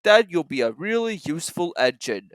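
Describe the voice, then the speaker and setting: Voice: medium pitch, big pitch swings, moderate pace, no room sound, lightly acted. A storybook reader voicing a stern, patronising railway director who questions and instructs.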